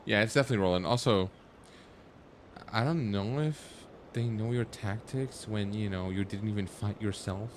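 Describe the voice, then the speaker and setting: A man's voice: fairly low pitch, normal speed, slight background noise. A man giving casual live commentary on a video game, with dry, wry asides. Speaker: streamer. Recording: game music behind the voice. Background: game music.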